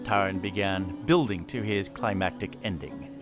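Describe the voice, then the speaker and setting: A man's voice: deep voice, normal speed. Male fantasy story narrator over music. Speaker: narrator of a fantasy audio drama. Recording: clear music behind the voice.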